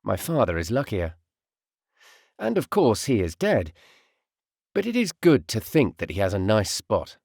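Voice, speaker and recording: A man narrates a novel in the first person. His delivery is reflective and measured, with a lively rise and fall in pitch. The sound is dry and intimate, with little room in it. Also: creaky, no echo. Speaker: audiobook narrator, voice artist.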